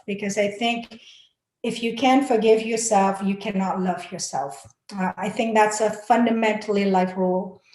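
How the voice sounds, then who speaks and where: A woman's voice: mid-range voice, a little mellow. Woman panelist, motivational and sincere, mid-range voice, roomy echo on the line. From Malaysia. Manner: earnest and encouraging, with frequent fillers and restarts. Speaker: panel speaker. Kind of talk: motivational talk on a webinar panel. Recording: echoey room.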